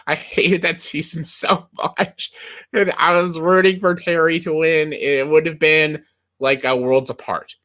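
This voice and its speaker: A young man with a bright, forward voice talks through a casual countdown list. He is slightly hoarse, clears his throat now and then, and rambles with plenty of "like" and "uh" and false starts. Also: fairly high pitch, level tone, takes it slowly. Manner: rambling with many fillers and restarts. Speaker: host of a list video.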